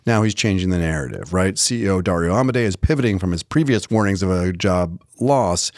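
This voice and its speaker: Deep-voiced man co-hosting a podcast. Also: lively intonation, brisk, darkish tone, no room sound, clean studio sound. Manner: informal, thinking aloud, rhetorical questions. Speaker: podcast co-host. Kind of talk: conversational podcast discussion.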